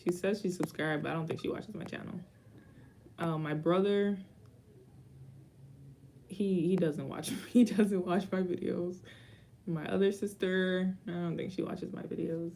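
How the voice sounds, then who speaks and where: A woman's voice: fairly low pitch, fairly even intonation, measured pace, long pauses, warm tone, a little hiss or hum. A young woman in her twenties answering viewer questions in a rambling, casual vlog chat with dry asides. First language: Haitian Creole. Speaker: YouTuber.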